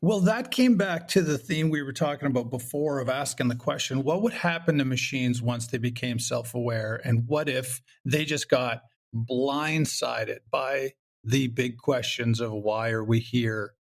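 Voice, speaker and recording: A man's voice: medium pitch, a little slow. A man talking casually as an interview guest, a writer explaining his work and acting out little asides. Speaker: guest, writer and creator of audio comedy.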